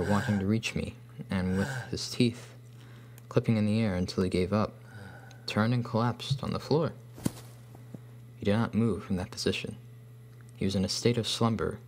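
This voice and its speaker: A man reading a scripted first-person horror story in a deliberate, ominous manner. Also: lowish voice. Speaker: narrator. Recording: faint hum behind voice.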